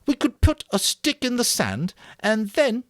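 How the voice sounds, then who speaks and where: A man's voice: extremely high pitch, huge pitch swings, a little slow, no room sound, clean studio sound, pitched above the performer's own voice, exaggerated voice. A man voicing a plump, earnest schoolboy who talks in an eager, plodding, matter-of-fact way.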